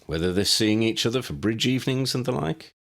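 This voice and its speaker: Guy doing a nervous young wizard, higher voice, jumpy and eager. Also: animated, brisk, creaky, fairly bright tone.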